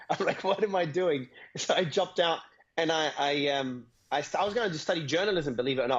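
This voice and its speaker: A man from Australia, an entrepreneur guest, talking fast, repeating words and laughing between phrases. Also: medium pitch, dull audio. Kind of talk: conversational interview.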